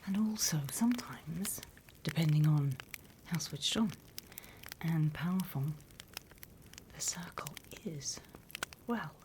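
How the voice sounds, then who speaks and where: A British woman gives a whispered ASMR roleplay lesson in a low, breathy voice. She takes her time, speaking slowly and gently as a conspiratorial instructor. There is clear background noise on the recording. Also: lively intonation. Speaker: ASMR roleplay performer playing a demons' class instructor.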